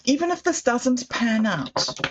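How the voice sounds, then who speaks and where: A woman's voice: on the high side, very animated, a little slow, a little hiss or hum. A woman, hosting a livestream and musing in a hesitant, self-doubting way about her projects. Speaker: livestream host. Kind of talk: casual livestream monologue. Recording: slightly dull lo-fi audio.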